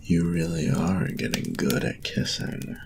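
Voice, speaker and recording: Man playing a very deep, gravelly, smug bully. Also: lively intonation, noisy background, heavily acted. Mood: smug, teasing. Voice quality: gravelly, often dropping to a whisper. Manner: taunting, breathy, drawn-out delivery. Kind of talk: intimate roleplay audio acting.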